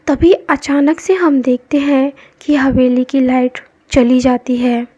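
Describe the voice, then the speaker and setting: Fields: Voice: high-pitched woman narrating a horror story. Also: steady tone, slow pace, dark tone, slightly rough, dry recording. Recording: dull lo-fi with background music.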